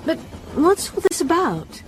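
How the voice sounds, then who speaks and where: A woman's voice: very high voice, sing-song, a little slow, a little hiss or hum, full character voice. Breezy, outspoken young Englishwoman. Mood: offhand. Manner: chatty, frank. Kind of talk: radio drama acting.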